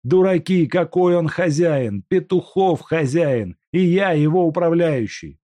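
Male reader doing a bullying boss, shouting, high and contemptuous, dry close sound. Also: expressive, unhurried, no room sound. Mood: raging. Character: furious bullying male factory manager.

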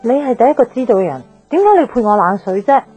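A woman's voice: on the high side, sing-song, quick pace, dark tone, slightly rough, soft background music, low-quality recording. An actress playing a frank, upbeat, stubborn young woman in a Cantonese radio drama, with a Hong Kong accent and a direct, casual manner. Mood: defensive, challenging. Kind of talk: naturalistic radio drama acting.

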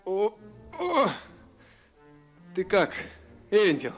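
A man's voice: on the high side, animated, measured pace, faint music behind, pitched above the performer's own voice. A man putting on a flustered good-hearted ice dragon. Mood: pained. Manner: flustered, exclaiming.

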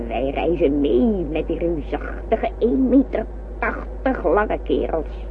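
Slow poetic male space traveller. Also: a little high, very animated, exaggerated voice. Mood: wistful, grand. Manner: poetic, declamatory. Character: poetic space traveller musing on small boys. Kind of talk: radio play declamation. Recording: tinny noisy old radio recording.

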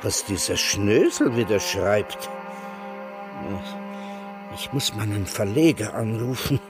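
Bitter old man, slow and swooping. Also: on the low side, expressive, over music. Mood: grim, sardonic. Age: older. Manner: biting, sarcastic, theatrically emphatic. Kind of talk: German radio drama acting.

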